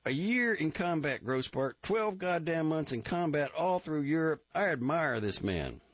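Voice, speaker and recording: A gruff, impatient army captain, voiced by a male reader, raised in pitch and pushed loud. He talks in a bullying, sarcastic way. The recording is dull, with a dry, close sound. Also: very animated, unhurried, no room sound.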